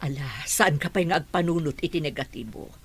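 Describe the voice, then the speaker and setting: A woman's voice: low-pitched, animated, fairly quick, husky, exaggerated voice. Lady doing a worried mom, voice swings up and down a lot. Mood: anxious. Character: caring middle-aged mother of the house. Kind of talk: Ilocano audio drama.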